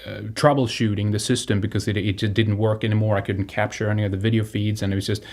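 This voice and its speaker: A man from Sweden with a fairly low voice speaks as an online host addressing his viewers. He is a native Swedish speaker talking off the cuff, and he often doubles back and repeats words as he goes. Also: flat delivery, a little mellow. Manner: halting, repeats and restarts words. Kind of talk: unscripted appeal to viewers.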